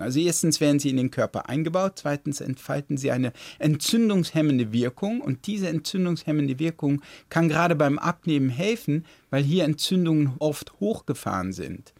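A man whose first language is Dutch, a science journalist guest explaining things in a relaxed radio talk show interview, laughing easily. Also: medium pitch, no room sound. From Netherlands.

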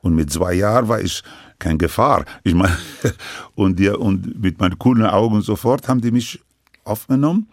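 An older man who grew up speaking French in Lebanon, recounting his life story in halting, broken sentences full of restarts. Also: on the low side, darkish tone, no room sound, clean studio sound. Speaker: interviewee.

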